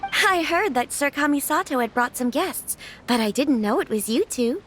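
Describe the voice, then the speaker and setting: A woman's voice: sits high, expressive, moderate pace, fairly bright tone, a little hiss or hum. An actress playing a polite, formal noblewoman who voices her worries in a video game. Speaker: clan head. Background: game music.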